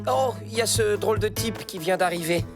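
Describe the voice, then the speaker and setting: A woman's voice: medium pitch, a little fast, over music, lightly acted. A woman voicing a bossy, jealous, exasperated animal character who lectures a friend in a French cartoon. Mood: curious, resigned. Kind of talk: French cartoon dub acting.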